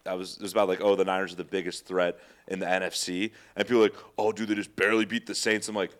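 A young man co-hosting a sports podcast, talking in a rambling, slangy, animated way. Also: low voice, very fast. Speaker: podcast co-host. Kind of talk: casual sports chat.

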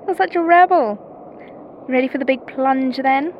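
A woman's voice: high-pitched, moderate pace. A woman playing a playful, sardonic astronaut crewmate heard over radio comms in an audio drama. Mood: teasing. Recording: muffled, noisy radio link.

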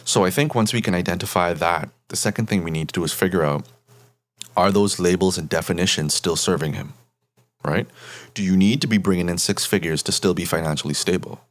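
A man from the Bahamas with a very low voice, talking as a therapist guest in an interview. He explains his points in a measured, patient way and keeps tagging them with "right?". The sound is close and dry, with little room echo.

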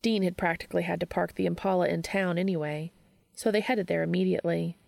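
A woman reads a story aloud in a lowish voice full of heavy vocal fry. The recording is close and dry, and she delivers scripted prose as an audiobook narrator. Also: steady tone, fast talker, darkish tone, dry recording. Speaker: fan fiction audiobook narrator.

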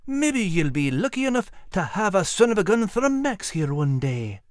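Lilting, high-pitched old Scottish sergeant. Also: male voice, very animated, normal speed, no room sound, studio quality. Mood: genial, proud. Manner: folksy, old-fashioned soldierly phrasing.

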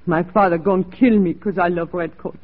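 A woman's voice: on the low side, fairly even intonation, brisk, natural voice. Woman playing a passionate frontier girl on tinny old radio. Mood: passionate, pleading. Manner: broken English, pleading and goading. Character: passionate, jealous young frontier woman, willful and lovestruck. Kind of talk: 1940s radio acting. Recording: tinny, band-limited 1940s radio recording.

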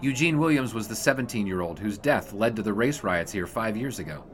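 An actor playing a courteous but persistent sportswriter in a period audio drama, measured and probing. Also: male voice, lowish voice, lively intonation, slight background noise, lightly acted. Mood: earnest, pressing. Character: polite but persistent young male 1920s sportswriter.

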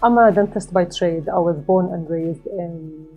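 Mellow, husky woman, thoughtful pace. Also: on the low side, unhurried, soft background music, rough recording. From Qatar. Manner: thoughtful, frequent "let's say" fillers. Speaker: guest, community organizer. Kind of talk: conversational interview answers.

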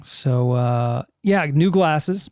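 A man chats loosely on his live podcast, circling back and correcting himself mid-sentence. His voice sits a little high for a man. The studio mic is close and dry, with no room sound. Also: animated, drawn-out words, a little mellow, no room sound. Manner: rambling, self-correcting, reads chat comments aloud. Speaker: podcast host. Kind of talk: casual live podcast chat. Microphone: studio mic with headphones.

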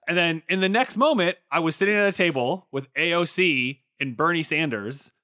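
A young man co-hosting a podcast, telling stories in a casual, animated way with lots of filler words. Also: a little high, moderate pace, dry recording, studio quality. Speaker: podcast co-host. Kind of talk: casual chat.

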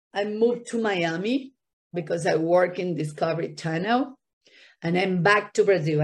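A woman's voice: on the low side, darkish tone. A woman from Argentina, a Spanish native speaker, talking in an interview in earnest, non-native English with an Argentine accent. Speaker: guest. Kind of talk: podcast interview.

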